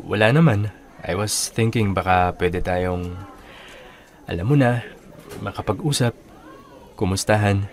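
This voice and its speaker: Low-voiced, confident young Filipino suitor. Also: male voice, measured pace, pauses often. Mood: smooth, tentative. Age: young adult. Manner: casual, switches between Tagalog and English. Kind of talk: radio drama dialogue in Taglish.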